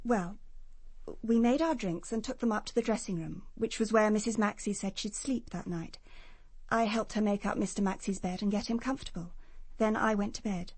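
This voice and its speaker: A woman's voice: medium pitch, normal speed, a little mellow, husky, no room sound. A woman playing a wounded, anxious young woman in a radio drama, confessing in a hesitant, hurt manner. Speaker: actress in a radio detective drama.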